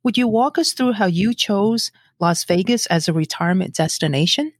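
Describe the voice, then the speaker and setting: A woman's voice: medium pitch, expressive, normal speed, dry recording, clean studio sound. A middle-aged woman, hosting a podcast in a scripted, playful and teasing way. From Brooklyn, New York; born in Brazil. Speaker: podcast host. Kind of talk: scripted podcast introduction and interview.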